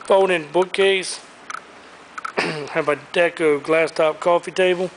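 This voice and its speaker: A man's voice: a little high, steady tone, slow, slight background noise, rough recording. A man walking through an auction warehouse and showing off the items for sale, upbeat and enthusiastic, recorded on the camera he carries. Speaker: auctioneer giving a preview walkthrough. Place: large indoor auction warehouse. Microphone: handheld camera he carries as he walks.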